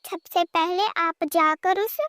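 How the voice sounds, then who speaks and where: A woman's voice: very high-pitched, steady tone, slow pace, sharp tone, no echo, exaggerated voice. A woman voicing an earnest, loyal young servant who speaks deferentially to a king in a cartoon story. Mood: earnest, urgent. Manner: deferential, pleading, explanatory.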